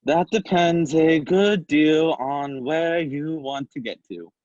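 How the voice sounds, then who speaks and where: A woman's voice: sits low, slow pace, darkish tone, no room sound, dull audio. A woman playing a sly, mad, grinning cat in a dramatic reading of a children's classic, with a teasing, leisurely manner. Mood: sly, matter-of-fact.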